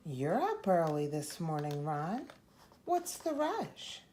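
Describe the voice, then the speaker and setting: A woman voicing a caring, gently fussing mother in a children's picture book. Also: low voice, very animated, slow, slight background noise, heavily acted. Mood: bright, caring. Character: caring, smiling mother of a young boy.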